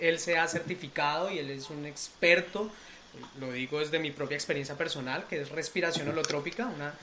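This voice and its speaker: A man's voice: fairly high pitch, noisy background. A man speaking as a podcast host and interviewer, articulate and earnest in his delivery. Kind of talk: scripted introduction, then conversational interviewing.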